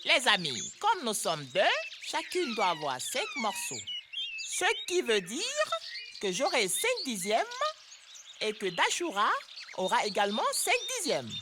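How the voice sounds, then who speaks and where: A woman's voice: fairly high pitch, wildly swooping pitch, a little slow. A woman voicing a bossy but patient older girl in an educational cartoon, explaining with emphasis. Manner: instructive, emphatic. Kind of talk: French-language educational cartoon dub. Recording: music behind the voice.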